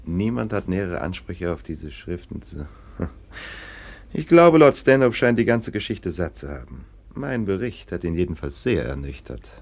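A deep-voiced, scornful police official. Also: male voice, big pitch swings, moderate pace, gravelly, a little mellow, heavily acted. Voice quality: sneering, dry mockery. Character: stern male police official, scornful and mocking. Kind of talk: 1960s German radio play acting.

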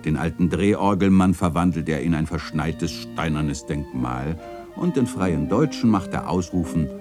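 An actor playing a reflective, nostalgic chronicler narrating a literary radio play in a measured, contemplative way. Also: male voice, low voice, lively intonation, over music. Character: reflective, nostalgic male chronicler of an old city lane.

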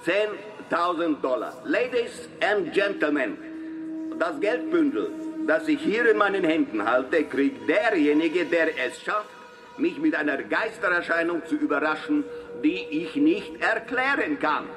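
A man's voice: fairly high pitch, big pitch swings, measured pace. An actor playing a mocking showman magician addressing a crowd with theatrical, sneering patter in a radio drama. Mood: grand, challenging. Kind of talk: radio drama stage speech. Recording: music and crowd behind the voice. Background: music and a crowd.

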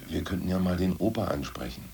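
A man playing a gruff, dogmatic ousted party ideologue in a radio play, with a gravelly, husky voice that bursts into coarse rants. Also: deep voice, animated, fast talker, warm tone, slight background noise. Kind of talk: 1980s radio play acting.

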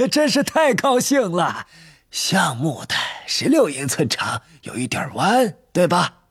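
Eccentric old storybook wandmaker: husky and breathy, highish swooping pitch. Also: male voice, very animated, a bit bright, dry recording, full character voice. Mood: delighted. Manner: slow, drawn-out, swooping delivery with muttered asides. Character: eccentric old male wandmaker, keen and theatrical.

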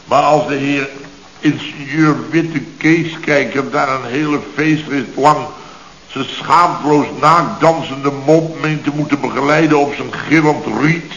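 Pompous blustering boss, roomy old recording. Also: male voice, on the high side, fairly even intonation, a little slow, a bit bright, dull audio, a little hiss or hum. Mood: indignant. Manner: pompous, mock-formal, long-winded. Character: blustering, indignant, pompous male boss of a building firm. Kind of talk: 1970s radio comedy serial acting.

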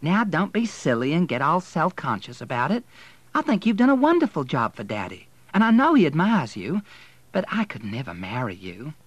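Male reader as haughty young Southern lady, raised pitch, swooping intonation, prim, dismissive, storybook dialogue. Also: very animated, brisk, no room sound, close to an everyday voice. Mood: patronising. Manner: prim, condescending.

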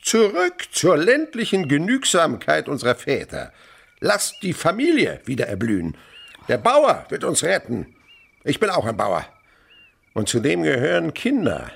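A man's voice: a little high, wildly swooping pitch, a little slow, creaky, fairly bright tone, husky, exaggerated voice. An actor playing an enthusiastic rural insect researcher and father, lecturing children in a rhapsodic, theatrical way in a radio drama. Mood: defiant, fervent. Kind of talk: German radio drama acting.

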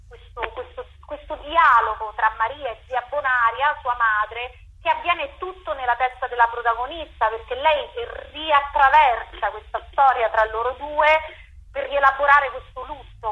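Bright-voiced woman, fairly high. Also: dull audio. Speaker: theatre director. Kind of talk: radio interview, explaining own work.

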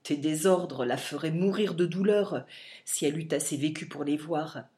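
A woman's voice: low voice, normal speed, dark tone, lightly acted. A woman voicing a stern, unbending older father who speaks severely and curtly to his son in an audiobook reading. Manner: severe, curt.

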